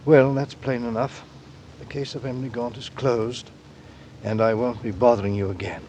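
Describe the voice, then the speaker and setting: An actor playing a methodical, formal police inspector with a measured, probing manner, in 1940s radio style. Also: male voice, medium pitch, steady tone, darkish tone, slight background noise, close to an everyday voice. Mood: grave, formal.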